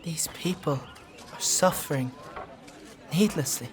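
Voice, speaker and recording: A performer playing an earnest, naive young squire boy in a dark fantasy audio drama, plain-spoken and questioning. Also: medium pitch, unhurried, slight background noise, lightly acted. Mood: urgent, pleading.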